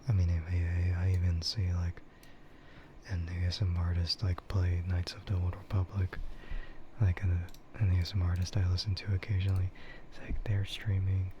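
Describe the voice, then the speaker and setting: Deep quiet guy doing asmr stream chat, half whispering, rambly, muffled audio w noise behind. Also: monotone, moderate pace. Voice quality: soft, breathy, often whispered. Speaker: livestreamer. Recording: muffled with clear background noise.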